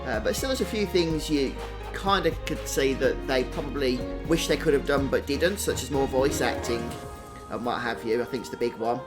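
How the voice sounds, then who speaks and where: A man's voice: medium pitch, normal speed. A man giving casual live stream commentary as a game streamer. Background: game music behind the voice.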